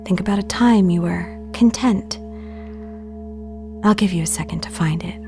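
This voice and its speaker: A woman playing an apologetic podcast host who guides a meditation in a hesitant, probing, soft-spoken way. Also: on the low side, moderate pace, music in the background, close to an everyday voice. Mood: gentle, hesitant. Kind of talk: intimate guided meditation acting.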